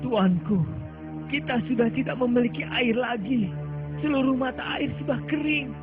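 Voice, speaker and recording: A man's voice: extremely high pitch, moderate pace. A man playing a submissive, anxious underling soldier in a religious cartoon, speaking in a deferential, faltering way. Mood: alarmed. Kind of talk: dubbed religious cartoon acting. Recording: dull lo-fi audio under loud music.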